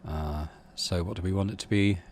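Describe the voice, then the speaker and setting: A man's voice: low voice, fairly even intonation, moderate pace, a little mellow, a little hiss or hum. A man reading out a story game as narration and adding casual commentary as he plays. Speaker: video game streamer.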